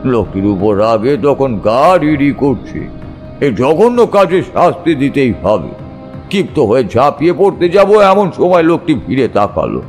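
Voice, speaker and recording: A man narrating a scripted first-person ghost story in a suspenseful, measured storytelling manner. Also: mid-range voice, sing-song, a little mellow. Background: background music.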